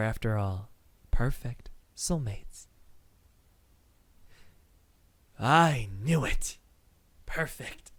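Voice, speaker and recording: A man playing a devoted, courtly vampire lover in an anime-style ASMR roleplay, speaking softly, intimately and formally. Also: mid-range voice, no echo, close to an everyday voice. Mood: smitten, assured, then adoring, possessive. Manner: soft, slow, intimate, formal and courtly phrasing. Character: devoted adult male vampire, courtly, tender, possessive lover.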